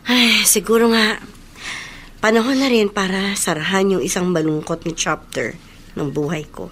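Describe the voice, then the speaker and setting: A Filipino actress playing a gentle, polite grown daughter in an emotive, hesitant radio drama style. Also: female voice, mid-range voice, moderate pace, lightly acted. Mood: wistful, reflective. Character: Isabel, gentle grown daughter returning to her childhood slum. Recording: noticeable background noise.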